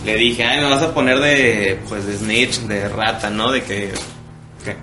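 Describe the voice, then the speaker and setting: A man's voice: fairly low pitch, fairly even intonation, a little slow. A man in his twenties with a Mexican accent, chatting casually and jokingly in slangy talk as a podcast co-host. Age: 20s. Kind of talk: casual banter chat. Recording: roomy echo, music behind.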